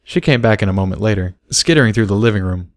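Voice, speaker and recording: Low-voiced man narrating, dry sound. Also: expressive, fast talker, dry recording. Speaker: audiobook reader of fan fiction. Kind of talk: scripted story narration.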